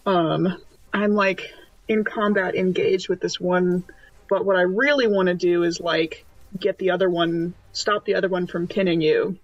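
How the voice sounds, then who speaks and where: A woman's voice: fairly low pitch, fairly even intonation, normal speed, no echo. A woman chatting casually as a tabletop roleplaying player, hesitant and thinking aloud, on a dull recording. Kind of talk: casual in-game description and table chat.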